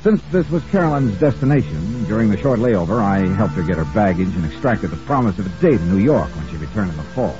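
An American actor playing a hard-boiled insurance investigator who narrates in a wry, clipped, conversational way, in 1950s radio detective style. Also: male voice, on the low side, very animated, quick pace, hardly any pauses. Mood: wry, matter-of-fact. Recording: dull vintage radio recording with music.

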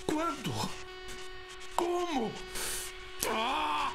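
An actor playing a tormented, frantic inventor in a Brazilian audio drama, theatrical and grandiose. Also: male voice, very high-pitched, lively intonation, very slow, gravelly, music in the background, full character voice. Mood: frantic despair. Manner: theatrical, anguished.

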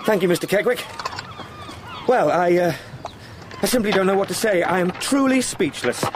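An eager young English gentleman in a radio drama. His voice sits a little high, and his speech is formal and polite, with hesitant asides. Busy outdoor effects sound clearly behind him. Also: lively intonation, moderate pace, background noise. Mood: awed, eager. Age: young adult.

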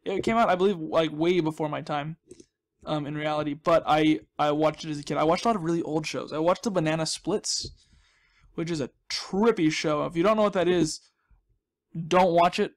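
A man's voice: on the high side, fairly even intonation, brisk. A man hosting a gaming video with casual, rambling commentary, chatty and jokey with a self-deprecating streak.

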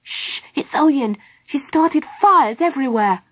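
Woman playing a young boy, high clear-cut pitch, plucky, reassuring, earnest, children's storybook hero. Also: very animated, measured pace, no room sound, exaggerated voice. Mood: hushed warning.

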